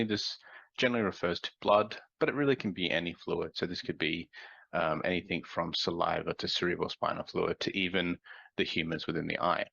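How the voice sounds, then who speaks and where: Low, gravelly man with a cold. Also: sharp tone, no room sound, highs cut off. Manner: measured, explanatory, with frequent ums. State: nasal from a cold. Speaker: researcher presenting a talk. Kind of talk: scientific conference presentation.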